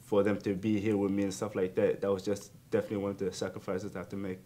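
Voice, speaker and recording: A teenage boy from Australia talks about school life in a low, flat voice. He hesitates often and pads his answers with fillers. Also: fast. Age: teens. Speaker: student athlete. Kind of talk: interview answer.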